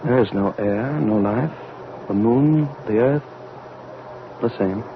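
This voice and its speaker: An older male doctor of science in a 1940s radio play, full of despair and remorse. He orates haltingly and repeats himself, with a creaky edge. The recording is narrow as a telephone, with light noise. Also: on the low side. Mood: resigned. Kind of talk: 1940s radio drama acting. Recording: noisy, phone-like vintage radio recording.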